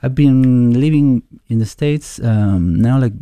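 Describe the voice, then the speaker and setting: A man with an Argentine Spanish accent, a musician guest in a casual interview, speaking in a thoughtful, halting way as he searches for words. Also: mid-range voice, mellow, clean tone, no room sound.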